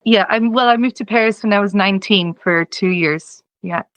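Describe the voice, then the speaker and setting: A woman's voice: medium pitch, moderate pace, a bit bright, no room sound. A young woman from Czechia, a singer-songwriter guest, talking casually in an interview, rambling with lots of "you know" and easy laughs.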